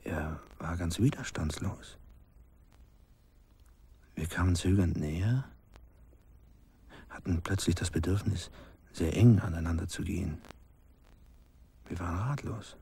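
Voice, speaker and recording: An actor playing a grim villager recalling cruel childhood events, deliberate and hushed, in a radio play. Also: male voice, deep voice, heavily acted. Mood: grim, intense. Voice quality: gravelly, husky, breathy. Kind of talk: slow dramatic radio play storytelling.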